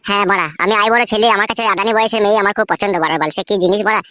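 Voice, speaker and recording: A woman's voice: medium pitch, racing, fairly bright tone, dry recording, clean studio sound, natural voice. A woman voicing a boastful, lecherous, foul-mouthed bachelor who schemes in a comedy cartoon. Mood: lustful, excited. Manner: crude, swaggering, foul-mouthed.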